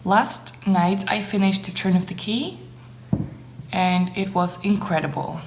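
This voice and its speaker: A young woman whose native language is Dutch talks to her viewers in a casual, gushing vlog style. She takes her time at an unhurried pace. The room is noticeably echoey, with faint noise behind her. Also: medium pitch. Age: young adult. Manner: enthusiastic, gushing about things enjoyed. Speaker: book vlogger.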